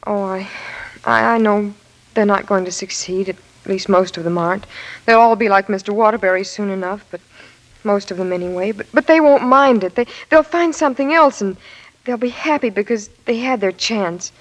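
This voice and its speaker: An actress playing a devoted young American fiancée in 1940s radio drama style, earnest, halting and pleading. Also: female voice, mid-range voice, lightly acted, poor audio.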